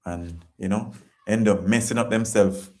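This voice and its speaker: A man's voice: fairly low pitch, fairly even intonation, a little slow, a little mellow. Earnest Jamaican man hosting a live chat. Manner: earnest, repetitive, preachy. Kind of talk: casual live-stream talk reading viewer comments.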